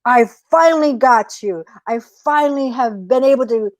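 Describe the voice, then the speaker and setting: A woman's voice: high-pitched, moderate pace, dry recording, voice pushed up, natural voice. A woman voicing a gullible male coyote who is tired of being tricked, in a told folk tale. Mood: triumphant.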